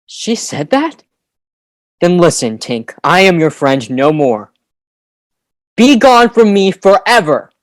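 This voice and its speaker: A woman's voice: on the low side, big pitch swings, slow pace, pauses often, dry recording. A woman playing a proud, commanding boy leader in an audio play. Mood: dramatic, indignant.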